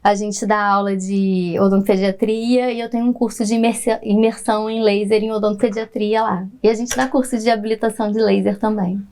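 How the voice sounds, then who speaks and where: A woman's voice: mid-range voice, flat delivery, a little fast, few pauses, darkish tone, clean tone. A woman, a pediatric dentist explaining her work in a podcast interview, patiently and with frequent laughter.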